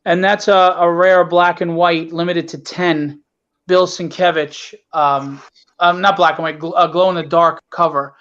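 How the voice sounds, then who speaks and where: A man with a notably high voice talks casually as a guest promoting his own work. He is emphatic and repeats short phrases. The sound is somewhat dull and lo-fi, like a call. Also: level tone, a little slow, fairly bright tone. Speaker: comic book creator, guest.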